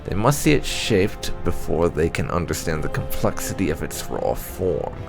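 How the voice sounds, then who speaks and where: A man reading fantasy game documents aloud for a playthrough, in a measured, deliberate and formal storytelling manner. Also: extremely low pitch, animated, creaky, over music. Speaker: gaming YouTuber reading in-game texts aloud.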